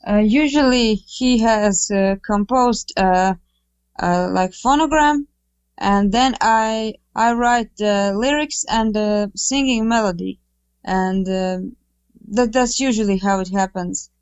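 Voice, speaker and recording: A woman's voice: medium pitch, slow pace, a little mellow, clear, resonant tone, no room sound. A woman, a singer-songwriter guest, speaking hesitantly with many fillers.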